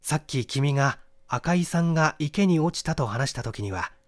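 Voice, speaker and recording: A man's voice: a little high, lively intonation, moderate pace, no room sound, studio quality, natural voice. A man voicing an eager, self-assured young amateur detective in a storybook reading, confident and explanatory.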